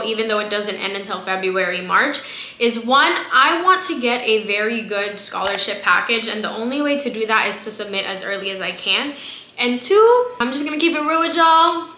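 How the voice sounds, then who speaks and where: Woman in her twenties, American accent, English as second language, bright high voice, expressive casual vlog chat, slangy. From South Florida, immigrant from the Philippines. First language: a language other than English. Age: 20s. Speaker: vlogger. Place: small bare room indoors. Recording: echoey room.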